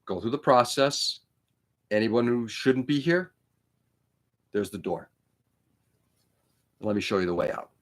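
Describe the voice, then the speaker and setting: A man's voice: on the low side, lively intonation, measured pace, long pauses. A man whose first language is Greek, hosting a casual livestream from a room on his laptop, rambling and blunt with frequent swearing. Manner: rambling, profane, opinionated. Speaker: livestream host building model kits. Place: indoor room.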